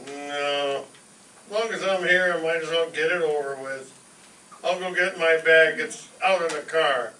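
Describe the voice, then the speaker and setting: A man's voice: on the high side, a little slow, sharp tone. A man playing a dazed, long-suffering, groaning doctor in an old-time radio comedy on stage. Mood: weary. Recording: echoey stage from far off, faint noise.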